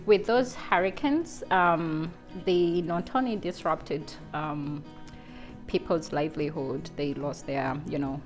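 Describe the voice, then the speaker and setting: Ugandan-accented woman, music behind. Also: lowish voice. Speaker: charity staff member, guest cook. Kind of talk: casual cooking chat and explanation.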